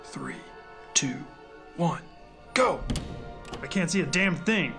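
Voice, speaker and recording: A man's voice: fairly high pitch, sing-song, slow pace, over music. A man playing a stern, by-the-book agent in an audio drama, curt, dry and commanding.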